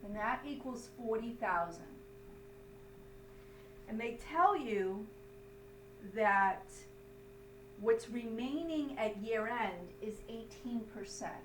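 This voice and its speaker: A woman's voice: a little high, animated, slow, pauses often. A woman instructor explaining a lesson step by step in an emphatic, didactic way, often checking understanding. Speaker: accounting instructor. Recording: roomy, distant mic, faint hum noise.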